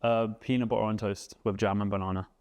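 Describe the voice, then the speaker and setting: Low-voiced young Englishman, easygoing. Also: quick pace. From England. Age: 20s. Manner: relaxed, rambling with many fillers. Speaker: guest, vegan cook. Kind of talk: casual interview chat.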